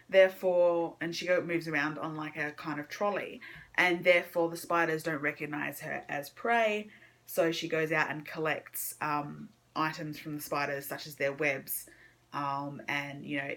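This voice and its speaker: A woman's voice: fairly low pitch, fairly bright tone. A woman giving a casual book vlog chat, informal and hesitant as she thinks aloud. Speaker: book vlogger. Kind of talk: casual monthly reading wrap-up chat.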